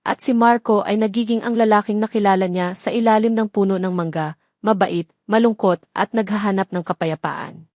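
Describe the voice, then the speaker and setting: Female storyteller for dramatic romance audio fiction, mid-pitched, scripted delivery, very dry recording. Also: a little mellow, no room sound.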